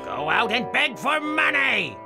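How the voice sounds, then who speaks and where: A gravelly, bullying father who barks and scolds his son, played by a woman. His voice rises and falls widely, and his pace is slow. Storybook cartoon music plays behind him. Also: a little high, sing-song. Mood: angry. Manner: shouting, scolding. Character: harsh, bullying poor father of a boy.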